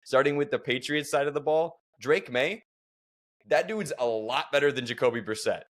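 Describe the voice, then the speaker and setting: Joking, energetic male podcast host. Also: mid-range voice, moderate pace. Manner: joking, energetic, leads the show. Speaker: fantasy football co-host. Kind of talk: casual podcast analysis.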